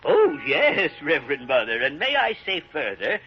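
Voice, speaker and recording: An actor playing an excitable, deferential old French convent gardener in a vintage radio drama. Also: male voice, fairly high pitch. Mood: humble, hesitant. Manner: excitable, animated, halting and chuckling. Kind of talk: 1930s-40s radio drama acting. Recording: muffled vintage radio broadcast recording.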